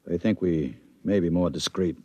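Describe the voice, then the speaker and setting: A man playing a steady bureau chief giving a briefing, in 1950s radio drama style. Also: low voice, normal speed, slightly rough. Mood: matter-of-fact. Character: steady male bureau chief briefing his trusted investigator. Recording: muffled vintage 1950s radio recording.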